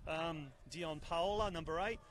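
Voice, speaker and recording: A man giving live football commentary outdoors at a ground, booming and excitable, holding a handheld mic at arm's length. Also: measured pace. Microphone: handheld mic held at arm's length.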